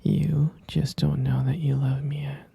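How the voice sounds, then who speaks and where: A man playing a tender but delusional, possessive captor in an intimate ASMR roleplay, coaxing in a breathy, gravelly whisper close to the microphone. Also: fairly high pitch, little pitch movement, dark tone, no echo, exaggerated voice. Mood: soothing, possessive. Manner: coaxing, slow, cooing, insistent.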